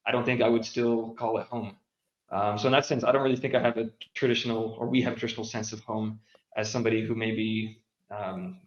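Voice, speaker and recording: Hesitant young man in a roomy, muffled recording. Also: on the low side. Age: young adult. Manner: hesitant, many ums and restarts. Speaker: guest, international school graduate. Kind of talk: casual podcast conversation.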